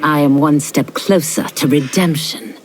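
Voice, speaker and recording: A woman's voice: low voice, unhurried. An actress playing a solemn, resolute warrior woman in a video game, speaking in a grave and formal way. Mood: defiant. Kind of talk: video game cutscene acting. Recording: loud music behind.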